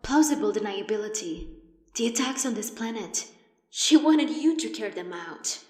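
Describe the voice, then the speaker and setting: A woman playing a bossy, quick-witted agent in a science fiction audio drama, brisk and sardonic. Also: a little high, animated, a little mellow, natural voice. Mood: challenging. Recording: echoey hall with long reverb.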